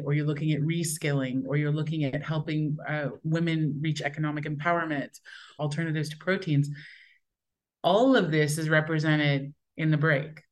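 A woman entrepreneur talking enthusiastically in a discussion, her pace shifting between quick and slow stretches. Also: deep voice, a little mellow. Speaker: entrepreneur guest. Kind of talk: conversational discussion.

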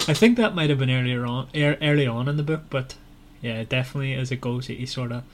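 A man's voice: medium pitch, fast talker, slight background noise. A man hosting a podcast, discussing a book in an informal, loosely phrased conversational way. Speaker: podcast host.

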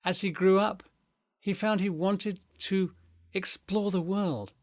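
An older man with an English accent gives measured, articulate narration, telling history as in a scripted documentary. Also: a little high, no room sound, studio quality. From England. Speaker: guest speaker recounting family history. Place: recorded in a family home.

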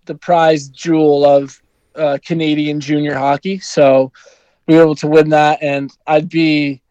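A man's voice: on the high side, level tone, unhurried, a little mellow, clear, resonant tone, dry recording, lo-fi sound. A young man from New Jersey, a hockey player answering interview questions in an easygoing way, with many ums and you-knows. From New Jersey, USA.

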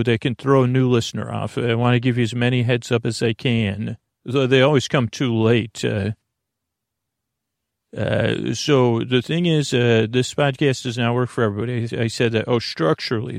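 Creaky-voiced man rambling sleepily on a podcast. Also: medium pitch, no echo, studio quality. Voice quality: creaky, gravelly. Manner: meandering, halting, stammering, repeats and trails off. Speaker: podcast host. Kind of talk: rambling bedtime podcast monologue to help listeners sleep.

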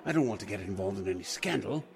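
An actor playing a nervous, flustered, respectable gentleman who stammers, in 1940s radio drama style. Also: lowish voice, lively intonation, fast talker, creaky. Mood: flustered, alarmed.

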